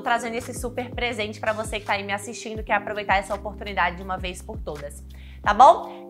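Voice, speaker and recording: High, bright-voiced Brazilian woman. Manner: direct, chatty, addresses the viewer. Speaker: video host, sex and relationship coach. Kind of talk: informal instructional talk to camera. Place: small indoor studio with shelves. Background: background music.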